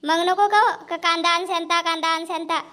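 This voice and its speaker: Woman acting a very high-pitched, nagging village housewife. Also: fairly even intonation, a little fast, sharp tone. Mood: exasperated, nagging. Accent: Powari. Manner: chattering, scolding. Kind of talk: cartoon comedy dialogue.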